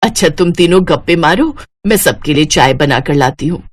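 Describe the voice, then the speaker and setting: A woman voicing a kindly, humble middle-aged village mother in a moral story, gentle and homely. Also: low voice, expressive, normal speed, no echo, studio quality. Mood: hospitable. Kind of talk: moral story character acting.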